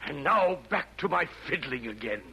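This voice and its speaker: An actor playing a fussy, affected Roman emperor in hell, theatrical in a 1940s radio style. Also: male voice, fairly high pitch, lively intonation, moderate pace, pushed and bright, breathy edge, full character voice. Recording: dull, muffled old radio broadcast.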